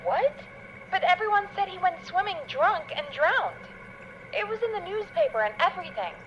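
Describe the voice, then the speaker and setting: A woman playing an inquisitive, incredulous radio producer in a video game, questioning and quick to react. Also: extremely high pitch, expressive, fairly bright tone, muffled recording, a little hiss or hum. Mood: incredulous, puzzled.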